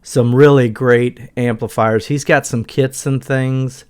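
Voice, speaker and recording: A man's voice: medium pitch, steady tone, takes it slowly, clear, resonant tone. A middle-aged man giving a casual walkthrough as a guitar gear reviewer.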